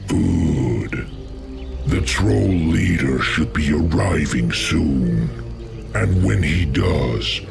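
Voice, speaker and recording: A man playing a sinister, scheming orc warlock master in a fantasy game, deep and gravelly, speaking with menacing, mocking condescension. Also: expressive, drawn-out words, full character voice. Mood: calm scheming. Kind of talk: dramatic fantasy game cutscene acting. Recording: music behind the voice.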